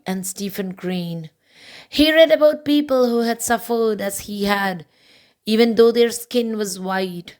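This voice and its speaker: A woman reading a children's picture book aloud as a storybook narrator. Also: mid-range voice. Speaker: storybook reader.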